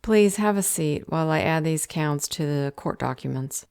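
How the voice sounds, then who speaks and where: A woman's voice: low voice, animated, normal speed, creaky, a little mellow, clean tone, no echo, studio quality. A woman playing an unflappable clerk at a magical government office in an audio roleplay, with an officious, matter-of-fact manner. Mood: efficient.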